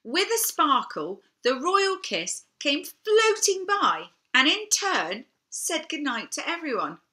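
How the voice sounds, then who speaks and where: An older woman reading a children's picture book aloud, theatrically, with dramatic pauses and emphasis. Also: high-pitched, sing-song, pushed and bright. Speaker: storybook reader.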